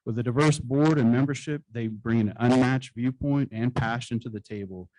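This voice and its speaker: Male awards presenter at a podium, measured formal delivery, average pitch, dry close sound. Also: darkish tone, no room sound, poor audio. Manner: formal, measured reading with occasional slips. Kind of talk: reading award citations at a conference. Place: conference hall stage.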